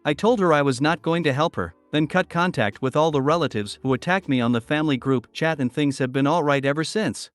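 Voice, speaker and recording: A man with a slightly high voice reads online stories aloud in an even, steady way. The sound is dry and close, with faint music playing underneath. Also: brisk, hardly any pauses, dry recording. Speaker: narrator. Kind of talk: reading online posts aloud.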